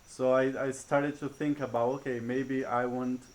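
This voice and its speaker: A man's voice: medium pitch, level tone, normal speed, a little mellow, slight background noise, poor audio. A man from Brazil, a retreat chef giving a testimonial in a hesitant way with many fillers and restarts. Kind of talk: testimonial interview.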